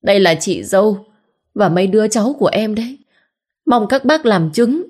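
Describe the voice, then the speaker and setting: A woman's voice: mid-range voice, lively intonation, normal speed, voice pushed up, lightly acted. A woman voicing a pregnant young wife who wails theatrically and complains shrilly. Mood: complaining.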